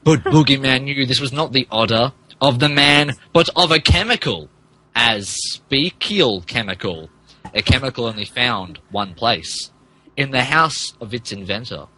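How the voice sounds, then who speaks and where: A man's voice: mid-range voice, animated, a little slow, bright, forceful tone, low-quality recording. A man narrating a comic dramatic reading as a podcast co-host, dropping in casual asides.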